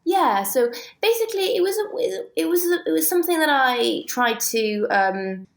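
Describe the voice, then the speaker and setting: A woman's voice: high voice, animated, moderate pace, gravelly. A woman with a British accent explaining technical detail conversationally and with enthusiasm, as an interview guest. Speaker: guest, scientist and author.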